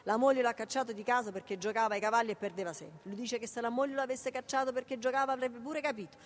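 A woman's voice: a little high, fast, natural voice. An actress with a Neapolitan accent playing a harried, proud, affectionate single mother in a radio play, emphatic and repetitive. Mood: wry explaining. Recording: noisy radio theatre recording.

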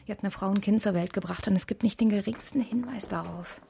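An actress playing a troubled, candid woman detective in a radio crime drama, speaking in a hushed, informal, questioning way. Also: mid-range voice, quick pace, vocal fry, husky. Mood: unsettled, hushed.

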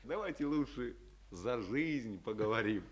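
A man acting a boyish young army lieutenant in a Soviet radio play. His mid-pitched voice moves freely up and down at an unhurried pace, lyrical and good-humoured. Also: expressive, lo-fi sound, natural voice.

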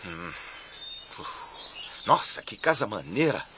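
A man's voice: lowish voice, sing-song, slow pace, noisy background, full character voice. A goofy, chatty guy. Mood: flustered. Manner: jokey, laughs a lot, stammers when flustered. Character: goofy, chatty man, awkward with a woman. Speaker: dubbed film character, a traveling lecturer. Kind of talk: Brazilian Portuguese film dubbing. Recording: film soundtrack with music behind.

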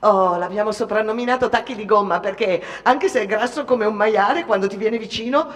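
An actress playing a bold, brash young Italian immigrant factory girl in an animated radio drama monologue. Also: mid-range voice, a little fast, in a large hall, lightly acted. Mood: mocking, spirited. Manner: animated, boastful, mimicking others. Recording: music behind the voice.

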